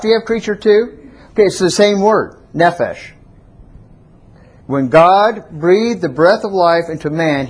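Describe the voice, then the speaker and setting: A man's voice: on the high side, husky. A man preaching a teaching sermon in a church, asking the congregation questions and having them repeat words. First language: not Hebrew. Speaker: pastor.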